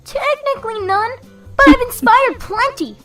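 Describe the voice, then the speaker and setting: Woman voicing high, brash cartoon pegasus mare. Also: animated, moderate pace, recorded from a distance, loud music behind the voice. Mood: boastful. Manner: cocky, exuberant delivery. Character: brash, boastful, upbeat adult blue pegasus mare. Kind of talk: animated fan-fiction audio drama acting.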